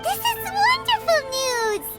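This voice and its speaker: A tiny fairy sidekick, with an extremely high, childlike voice that leaps wildly up and down. She is bubbly and eager, like an animated game companion, with music playing behind her. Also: slow, full character voice. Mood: excited. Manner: exclamatory, bubbly.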